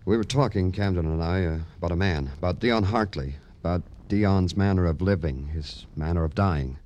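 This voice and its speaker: An American actor playing a brooding, terse police detective with a wistful streak in an old radio crime drama. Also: male voice, low voice, moderate pace, darkish tone, lo-fi sound, close to an everyday voice. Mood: guarded, hesitant. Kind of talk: 1940s-50s radio crime drama acting.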